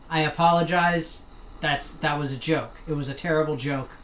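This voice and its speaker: A woman's voice: low voice, a bit bright. A woman streaming a game from her desk, chatting casually, joking around, trying out put-on accents and talking back to the game. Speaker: gaming streamer. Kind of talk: casual live-stream commentary. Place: home room at a desk. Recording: roomy with faint room hum.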